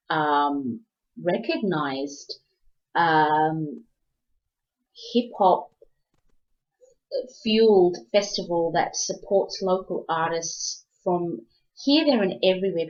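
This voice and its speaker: A woman's voice: on the low side, takes it slowly, a little mellow, lo-fi sound. A woman from South Africa hosting an interview, talking casually with lots of "um" and "you know" and restarting her sentences. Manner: rambling, many fillers and restarts. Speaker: host.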